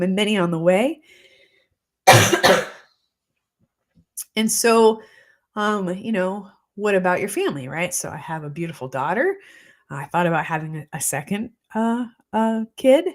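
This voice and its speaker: A woman in her forties, a holistic practitioner, chatting on a live stream in a reflective way with a slightly congested voice. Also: lowish voice. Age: 40s. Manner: chatty and reflective, many fillers. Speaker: holistic practitioner hosting a weekly live show. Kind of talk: casual live-stream talk, greeting viewers. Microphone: streaming mic.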